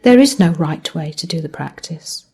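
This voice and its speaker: A woman guiding a body scan meditation as a mindfulness teacher, speaking gently in measured phrases that end softly. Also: low voice, animated, slightly rough.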